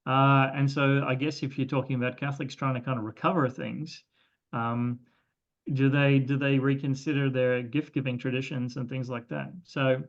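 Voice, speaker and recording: An Australian man hosts an interview in a conversational style. His voice sits at a medium pitch and comes through dull, lo-fi audio. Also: flat delivery, moderate pace. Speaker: interviewer, host.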